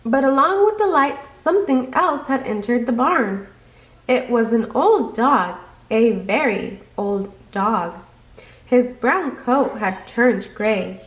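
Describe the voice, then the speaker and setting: Slow-paced woman reading in an echoey room. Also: on the high side, lively intonation, dull audio. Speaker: storybook reader. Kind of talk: expressive children's bedtime story narration.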